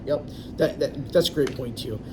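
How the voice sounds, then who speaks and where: A man explains things as a guest in a confident, chatty manner. His voice sits at a middle pitch. He is recorded from a distance, with clear background noise. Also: moderate pace. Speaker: loan originator, guest. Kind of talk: casual interview.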